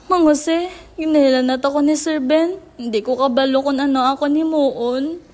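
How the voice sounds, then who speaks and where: A woman's voice: high-pitched, moderate pace, darkish tone, natural voice. A woman playing an anxious, hesitant young female office worker in an amateur radio drama, with a Filipino accent. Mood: distressed.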